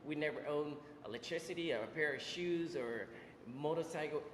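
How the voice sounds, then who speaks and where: A man's voice: a little high, a little hiss or hum. Man telling his story in an echoey room. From Vietnam. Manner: halting, many fillers and restarts. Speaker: interview guest, business owner. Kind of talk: casual interview storytelling. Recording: echoey room with long reverb.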